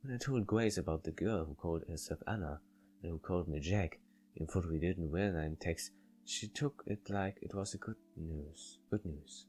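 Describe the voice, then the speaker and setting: A man's voice: low-pitched, moderate pace, darkish tone, faint music behind. A man reading a visual novel aloud and commenting on it, stumbling over words now and then. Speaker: video game player reading aloud.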